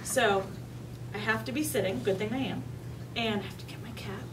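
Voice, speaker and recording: High-pitched woman teacher, distant and noisy. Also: normal speed. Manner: simple, coaxing, child-directed instruction with questions. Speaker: teacher reading aloud to kindergarteners. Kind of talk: interactive read-aloud lesson. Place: classroom with young children. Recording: distant mic, noisy room.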